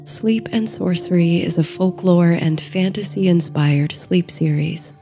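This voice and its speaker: A woman narrating a bedtime sleep story and guided meditation in a soothing, gentle, hushed and measured way. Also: fairly low pitch, soft background music.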